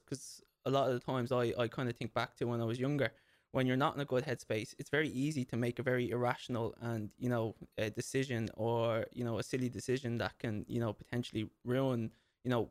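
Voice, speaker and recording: An Irish man with an ordinary mid-range voice talks as a fitness coach guest on a podcast. His speech is chatty and loose, threaded with "you know" and "like." The sound is dry, with no room echo. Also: mid-range voice. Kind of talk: casual podcast conversation.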